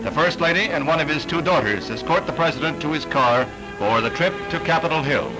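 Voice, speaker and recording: A man's voice: a little high, animated, a little fast, low-quality recording. 1960s American newsreel man over prominent music. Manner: formal, declamatory announcer delivery. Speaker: newsreel narrator. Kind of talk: scripted 1960s newsreel narration. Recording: vintage 1960s newsreel soundtrack. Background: orchestral newsreel music.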